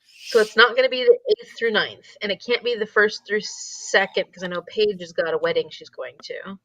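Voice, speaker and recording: A woman's voice: medium pitch, steady tone, normal speed, fairly bright tone, dry recording, muffled recording. A woman chatting casually and informally as co-host of a group livestream, talking into a close mic on a call.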